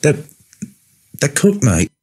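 A man's voice: a little high, huge pitch swings, takes it slowly, darkish tone, husky, voice pushed up, exaggerated voice. A man voicing an anxious, ingenuous young lad in an audio story, hesitant and prone to stammering. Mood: nervous.

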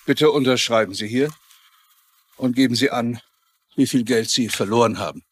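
A man's voice: mid-range voice, normal speed, breathy edge, natural voice. A man as a formal, official lawyer. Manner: formal, wry. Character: formal, measured male lawyer addressing creditors. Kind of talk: German-dubbed television crime drama.

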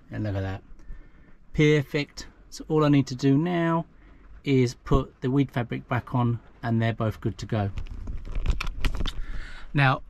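A man, talking casually through a how-to job inside a parked car, chatty and self-deprecating as he thinks aloud. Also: mid-range voice. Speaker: vlogger. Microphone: action camera mounted in the car.

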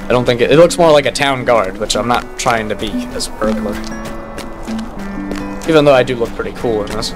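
A young man with a raspy, just-woken voice, casually commenting while he plays a game and reads quest text aloud at home. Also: medium pitch, brisk, long pauses. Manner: laid-back, thinking aloud. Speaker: gaming YouTuber. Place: home room at a computer. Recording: game music behind. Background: game music.

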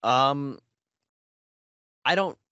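A man in his twenties co-hosting a podcast, chatting casually in halting phrases. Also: medium pitch, expressive, pushed and bright, smooth, no room sound. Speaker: podcast co-host. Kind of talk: casual chat. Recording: dull, lo-fi voice call sound.

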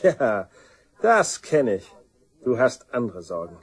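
An actor playing a bitter, aggrieved younger son in a German crime radio play, sarcastic and accusing. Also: male voice, medium pitch, big pitch swings, slow pace, breathy edge, exaggerated voice.

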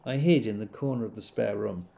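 A wistful man in an audio drama monologue. He recalls childhood fondly, speaking in a measured, intimate and reflective way. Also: lowish voice, vocal fry, mellow. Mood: fond, reminiscent.

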